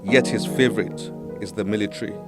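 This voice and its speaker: A man's voice: fairly low pitch, a little fast. A man presenting a book programme in scripted narration, as its host. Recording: music behind the voice. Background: instrumental music.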